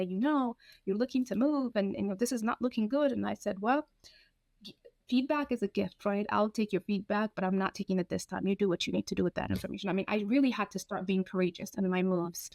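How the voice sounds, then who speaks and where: Frank, animated woman from Ethiopia. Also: medium pitch, no echo. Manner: frank, animated, acts out quoted dialogue. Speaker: guest. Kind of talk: candid interview storytelling.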